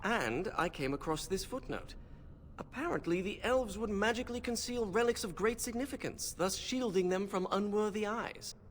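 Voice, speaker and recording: An actor playing a nervous, bookish young mage in a video game, hesitant, wordy and fussy. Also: male voice, high voice, lively intonation, moderate pace, big-room sound, a little hiss or hum. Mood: nervous, explaining.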